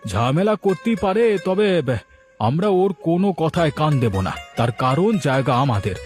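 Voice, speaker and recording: Guy doing a jealous indignant cartoon village man, kinda high pitched, bragging, bit of music behind. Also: very animated, measured pace, a little mellow. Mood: scheming, boastful. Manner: indignant, boastful, emphatic. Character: aggrieved, envious village man plotting a rival food stall. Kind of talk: animated moral story dialogue.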